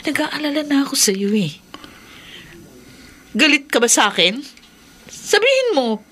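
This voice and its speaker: An actress playing a skeptical, exasperated wife in a horror radio drama, emphatic and impatient. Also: female voice, high-pitched, sing-song, unhurried, a bit bright, exaggerated voice. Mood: worried.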